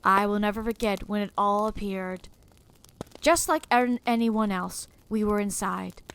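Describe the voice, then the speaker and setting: A woman's voice: mid-range voice, a little slow, fairly bright tone, clear, resonant tone. A woman performing a sombre, regretful teacher who narrates a dramatic first-person story. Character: sombre, regretful teacher haunted by lost students.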